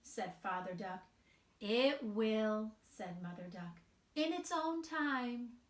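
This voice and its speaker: A woman with a fairly high voice reads a children's story very slowly and expressively. She leaves emphatic pauses between phrases. The sound is roomy and echoing. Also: lively intonation. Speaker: picture book reader. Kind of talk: read-aloud children's storytelling.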